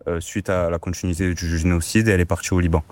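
A man's voice: deep voice, level tone, fast, slight background noise. A young man of twenty, an interview guest, speaking earnestly with many fillers. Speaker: student guest. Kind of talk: casual interview.

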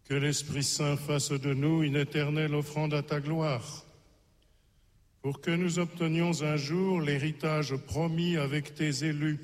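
A man, a priest, reciting a Eucharistic prayer in a flat, measured way at Mass. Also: a little high. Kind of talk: liturgical prayer. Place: church. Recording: long church reverb.

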